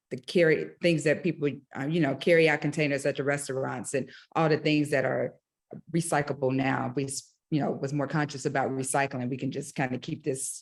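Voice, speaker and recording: Low-voiced woman, rambling chat. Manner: rambling, many fillers. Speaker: meeting participant. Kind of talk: casual discussion.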